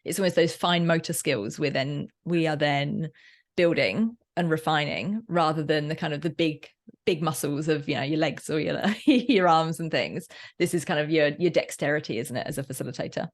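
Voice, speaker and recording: A woman's voice: low voice, moderate pace. A woman speaking as an interview guest in a casual, discursive way, often rephrasing mid-sentence. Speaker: podcast guest, workplace learning facilitator.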